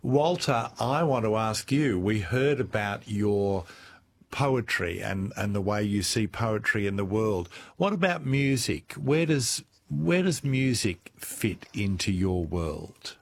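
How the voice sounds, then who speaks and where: A man hosting a radio interview, asking unhurried questions and offering brief affirmations. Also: lowish voice, lively intonation, vocal fry. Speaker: radio host.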